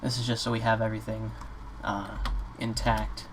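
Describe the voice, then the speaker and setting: A man giving a casual, off-the-cuff software tutorial in an informal, slightly hesitant way. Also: on the low side, flat delivery, normal speed. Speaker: tutorial presenter. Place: indoors at a computer. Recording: clear background noise, keyboard clicks.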